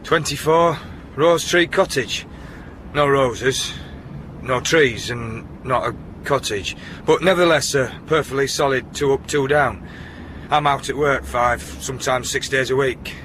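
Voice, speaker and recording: An actor playing a plain-spoken, domineering working-class boyfriend with a Yorkshire accent in a radio drama. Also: male voice, medium pitch, unhurried, a bit bright, slight background noise, natural voice. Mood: plain, matter-of-fact. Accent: Northern English, Yorkshire. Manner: matter-of-fact, bossy, plain-spoken.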